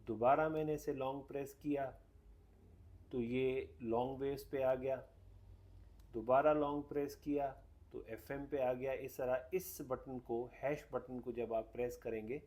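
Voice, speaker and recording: A man presents a hands-on product demonstration in a casual way. He has a mid-pitched voice and explains things step by step, dropping English technical terms into his sentences as he goes. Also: warm tone. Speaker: radio reviewer.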